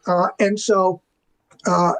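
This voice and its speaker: A man's voice: high voice, flat delivery, slow pace, a bit bright. An older man telling casual anecdotes as an interview guest, acting out the dialogue in colloquial language. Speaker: interview guest, veteran visual effects artist.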